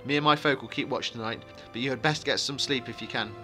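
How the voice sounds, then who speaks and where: A man's voice: medium pitch, quick pace, lightly acted. A man voicing an anxious, forgetful, chatty village innkeeper in a fantasy audiobook, in flustered, rambling rustic talk. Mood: worried, staunch. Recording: music behind the voice.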